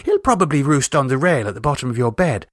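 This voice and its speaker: A man's voice: medium pitch, very animated, fast talker, fairly bright tone, no echo, studio quality. A man voicing a chatty, blithely unconcerned teenage girl in a storybook reading, prattling breezily and matter-of-factly. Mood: coaxing, blithe. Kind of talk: storybook character voice.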